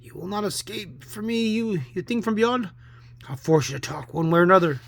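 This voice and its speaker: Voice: medium pitch, normal speed, vocal fry, husky. A reader voicing a blustering, boastful inventor in a comic book story, pompous and accusing. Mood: threatening.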